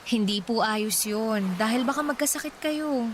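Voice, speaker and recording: Woman acting a high-voiced caring daughter, noisy ambience. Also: expressive, moderate pace, natural voice. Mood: tender, earnest. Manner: solicitous, respectful. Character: caring, dutiful young eldest daughter, studious and affectionate. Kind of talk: Filipino radio drama acting. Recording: clearly noisy background ambience.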